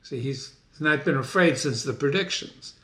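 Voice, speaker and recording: A man's voice: on the high side, normal speed, fairly bright tone. A man hosting a dramatic reading, breaking in with enthusiastic, teacherly, casual explanations. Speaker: host, reader and explainer.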